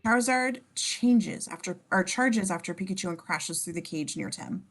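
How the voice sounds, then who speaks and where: A woman's voice: lowish voice, lively intonation, moderate pace, a bit bright. A woman narrating scene descriptions in an amateur script reading, sometimes stumbling and correcting herself. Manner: stumbles over words and self-corrects.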